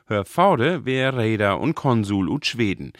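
A man with a northern Low German accent narrates a radio report from a script. His voice is fairly low. The sound is dry, as in a studio. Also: very animated, a little slow, dry recording.

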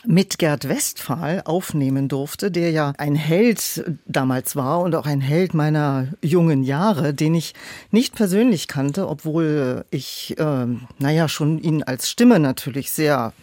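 Woman in her 50s, podcast guest, lowish voice, thinks between phrases, very dry close room. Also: slow pace, mellow, no echo. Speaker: audiobook producer, podcast guest. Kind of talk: casual interview storytelling.

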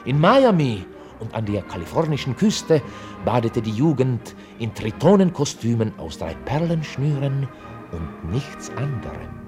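An actor playing a dry, ironic narrator, reading scripted radio play narration like a documentary report. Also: male voice, medium pitch, very animated, measured pace, music in the background. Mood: dry, reportorial.

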